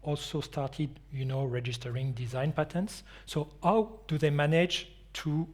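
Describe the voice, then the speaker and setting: Man presenting at an expo, halting. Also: on the high side, steady tone. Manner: non-native phrasing, halting restarts. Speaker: company anti-counterfeiting presenter. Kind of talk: conference presentation and Q&A.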